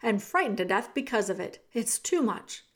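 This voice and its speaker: A woman's voice: a little high, sing-song. A woman voicing a distraught storybook castle cook, wailing and ferocious in her grief. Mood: agitated. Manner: wailing, shouting, drawn-out.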